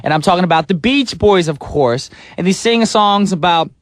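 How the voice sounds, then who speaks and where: Brief: upbeat male radio DJ, slightly high voice, dry close studio mic. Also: normal speed, dry recording. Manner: playful, breaks into sung snippets and silly voices. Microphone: studio microphone.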